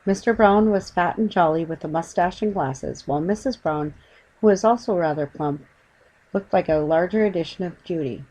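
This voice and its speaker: Woman narrating, lower-pitched voice. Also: normal speed, dark tone, poor audio. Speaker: audiobook narrator. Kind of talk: scripted children's story narration.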